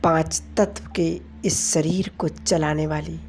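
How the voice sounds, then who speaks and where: Woman, meditation guide, low pitch, unhurried slow delivery, soothing devotional commentary, short phrases with pauses. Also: fairly even intonation, darkish tone, slight background noise. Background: music.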